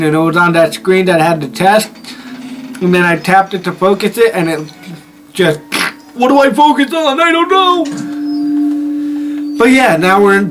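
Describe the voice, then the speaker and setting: A man's voice: high voice, fairly bright tone, rough recording. A man hosting a horror game video with informal, rambling commentary, swearing freely. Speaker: gaming video host.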